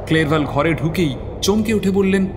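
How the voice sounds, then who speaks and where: A man's voice: a little high, lively intonation, moderate pace, noisy background. A man narrating a scripted story as a dramatic narrator.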